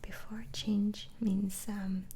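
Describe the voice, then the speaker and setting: A woman whispering in a breathy ASMR roleplay as a patient doctor, asking questions gently and hesitantly. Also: mid-range voice, flat delivery, slow pace, a little hiss or hum. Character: polite, patient female asylum doctor interviewing a patient.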